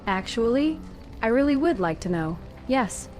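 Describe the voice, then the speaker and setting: A woman plays a caring young warrior heroine in a fantasy game. Her voice is a bit high and measured, with a calm, gently probing manner. Music runs behind the dialogue. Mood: curious. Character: calm, caring female Grey Warden heroine. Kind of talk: video game dialogue acting.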